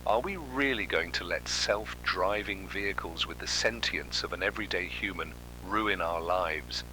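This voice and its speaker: Weary, fearful male witness, acted for analog horror: deep but sharp voice, resigned, hesitant, faint hum. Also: moderate pace, rough recording. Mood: bitter resignation. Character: weary, fearful witness lamenting a world gone wrong. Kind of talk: scripted analog horror monologue.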